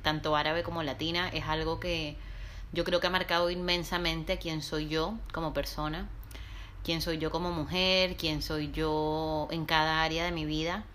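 A woman with a Colombian Caribbean coast accent and a lowish voice, telling her own story on a podcast. She speaks in a reflective, earnest way and often pauses with filler sounds. A faint noise sits under her voice. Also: level tone, fairly bright tone. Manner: reflective and earnest, with frequent hesitations. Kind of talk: casual podcast chat.

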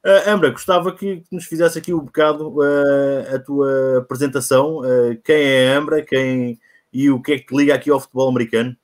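A man's voice: medium pitch, level tone, brisk. A man hosting a casual live-stream chat, joking at his own slips, with many fillers. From Portugal. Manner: chatty and self-mocking, many fillers. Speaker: podcast host.

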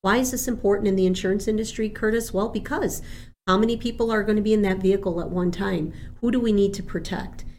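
A woman from Argentina whose first language is Spanish, an insurance agent talking as a guest in a lively, chatty way and laughing easily. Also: medium pitch, fast, a little hiss or hum. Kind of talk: interview guest, chatty and upbeat.

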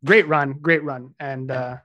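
A man's voice: medium pitch, fairly even intonation, a little slow, muffled recording, a crowd in the background. A man co-hosting a casual sports podcast, talking with many hesitant filler sounds as he introduces a guest. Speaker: podcast co-host.

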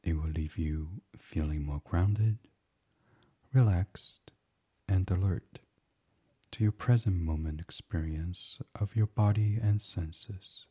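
A man guiding a bedtime meditation as a podcast host, speaking softly and soothingly in an unhurried, hushed, breathy way. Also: low voice. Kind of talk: guided meditation, gentle bedtime reading.

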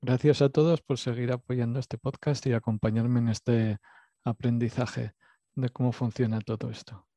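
Host: man, Basque Spanish accent, mellow dry voice, casual rambling self-deprecating chat. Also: mid-range voice, level tone, normal speed, no room sound. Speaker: podcast host. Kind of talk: casual interview podcast.

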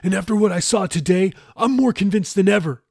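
A man acts out a desperate young sailor held captive in an audiobook story. His voice is raised high and has a rough husk, close and dry. He talks in slang, agitated and pleading. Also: fairly quick, darkish tone, dry recording, full character voice. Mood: angry, desperate.

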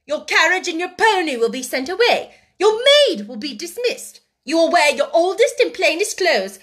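A woman voicing a shrill, harsh, domineering schoolmistress. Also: very animated, moderate pace, full character voice. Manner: sharp, imperious, scolding. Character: harsh, domineering schoolmistress, haughty and sharp-tempered. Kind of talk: audiobook character acting.

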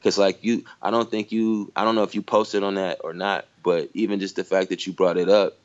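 Deep-voiced man musing in casual talk. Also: little pitch movement, fairly quick, muffled recording. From Michigan, USA. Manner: reflective, many fillers like "you know". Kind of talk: casual conversation.

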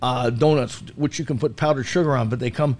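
A man's voice: mid-range voice, steady tone, brisk, darkish tone. Male food commentator for casual radio chat, self-deprecating and jokey, repeats phrases for emphasis. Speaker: food commentator on a talk show.

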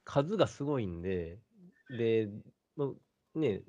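A man's voice: fairly low pitch, very animated, slow pace, mellow, muffled recording. A man explaining things casually as a radio panelist.

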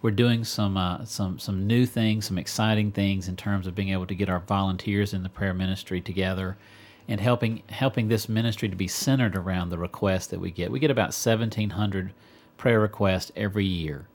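Low-voiced male religious radio co-host. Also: steady tone, brisk. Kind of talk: religious talk radio discussion.

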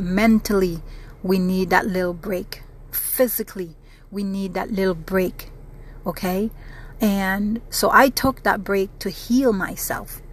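A woman from the Caribbean hosting her own casual podcast, chatty and earnest, repeating phrases for emphasis. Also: medium pitch. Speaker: podcast host. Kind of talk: casual solo podcast monologue. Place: South Florida.

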